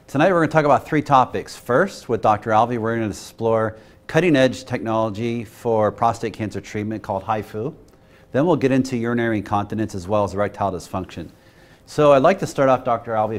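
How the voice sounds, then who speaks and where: A middle-aged man with a fairly low voice hosts a studio interview. He asks questions in a friendly, upbeat way. He is recorded on a lapel mic in a television studio. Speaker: talk show host.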